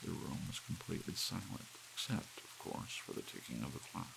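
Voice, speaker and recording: Very deep, gravelly male story narrator. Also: a little fast. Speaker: audiobook narrator. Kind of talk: scripted story narration, ASMR bedtime reading. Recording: steady soft rain and hiss behind. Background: soft rain.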